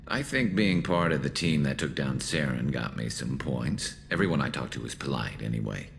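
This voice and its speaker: A man voicing a battle-scarred alien soldier in a video game, loyal and dryly wry, with a gravelly voice. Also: expressive, moderate pace, slight background noise, exaggerated voice. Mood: dry, steady. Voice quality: gravelly, very deep. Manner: dry, wry, clipped. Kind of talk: video game dramatic acting.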